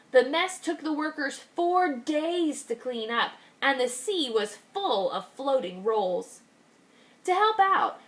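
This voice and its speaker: Kindergarten teacher, woman, fairly high voice, expressive storybook read-aloud with slowed emphasis. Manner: expressive, slows down on key phrases. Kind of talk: reading a picture book aloud.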